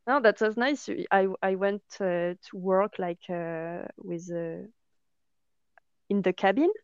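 A woman's voice: medium pitch, slow pace, darkish tone, clear, resonant tone, no room sound. A young woman with a French accent, chatting as an interview guest about her work, hesitant and full of fillers. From France. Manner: hesitant, many fillers and restarts. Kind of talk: casual interview chat. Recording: dull lo-fi sound.

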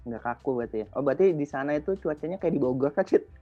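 A man's voice: on the high side, expressive, very fast. A young man with a colloquial Jakarta Indonesian accent, asking slangy, informal questions as the host of a casual interview. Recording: dull lo-fi audio with music. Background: music.

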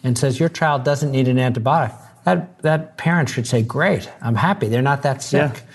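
A man's voice: medium pitch, moderate pace, breathy edge. A man who is a doctor, explaining earnestly in conversation and often repeating words as he begins sentences. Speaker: doctor, guest.

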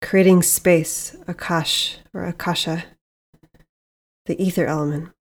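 A woman leading a guided meditation as host, speaking in a soothing, measured way with long pauses. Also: on the low side, steady tone.

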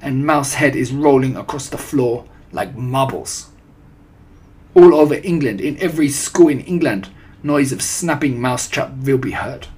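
A man's voice: mid-range voice, expressive, moderate pace, a little mellow, breathy edge. A man doing a gloating, imperious old witch. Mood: loud, gloating. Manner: broken foreign-sounding grammar, commanding, declamatory. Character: disgusting old Grand High Witch, gloating, imperious child-hater. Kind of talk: storybook character voice.

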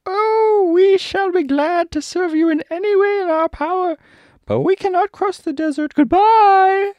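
A man's voice: extremely high pitch, measured pace, a little mellow, dry recording, pitched above the performer's own voice. A man voicing a courteous but firm monkey king in a storybook reading. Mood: firm, apologetic.

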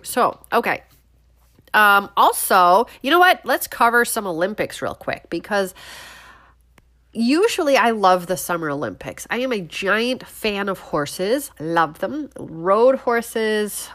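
A woman in her thirties, congested and nasal, hosting a casual opinion monologue with loud outbursts and muttered asides. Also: medium pitch. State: congested, sniffling. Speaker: podcast host.

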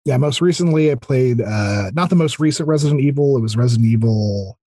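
A man with a slightly husky voice at a middle pitch, chatting casually as a guest. He thinks aloud, hesitating and circling back, yet sounds keen. The sound is dry and close. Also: expressive, brisk, dark tone, no echo. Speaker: podcast guest. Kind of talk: casual interview chat about video games.

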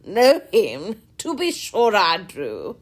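A woman voicing a hearty, jovial older country gentleman who talks loud, booming and slow. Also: huge pitch swings, full character voice.

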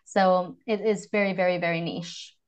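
A woman's voice: on the low side, steady tone, normal speed, clean tone. A woman in her thirties from Canada with a Canadian accent, a voice and communications coach speaking in a podcast interview, articulate and reflective. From Vancouver, Canada.

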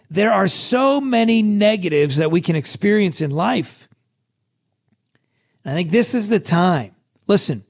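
A man hosting a motivational podcast, talking in a casual, chatty way with a hoarse, scratchy voice. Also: sits high. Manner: casual and chatty, with many restarts. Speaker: podcast host.

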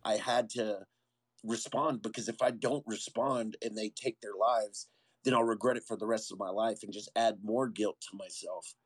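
A man's voice: on the low side, steady tone, normal speed, slightly rough, no echo. A man, a former Marine, telling his own story as an interview guest in a candid, earnest way, often stopping and restarting.

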